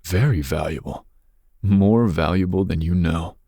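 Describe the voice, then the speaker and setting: A man playing a dangerous, possessive young mafia boss's son in an intimate ASMR roleplay, speaking breathily with smooth, teasing menace. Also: a little slow, warm tone, no echo, clean studio sound, full character voice. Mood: persuasive, calculating. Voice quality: breathy, husky low voice. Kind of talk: ASMR roleplay, intimate second-person monologue.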